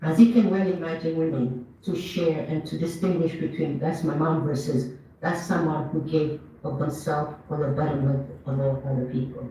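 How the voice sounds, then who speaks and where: A speaker from Ethiopia giving an earnest, measured commemorative speech at an event, lifting their voice on key words. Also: mid-range voice, fairly even intonation, mellow, slight background noise. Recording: dull, echoey hall, mic far off.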